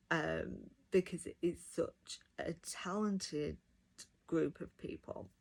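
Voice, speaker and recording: A woman's voice: lowish voice, creaky, darkish tone. A woman giving a casual, enthusiastic review, talking directly to viewers. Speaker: audiobook reviewer.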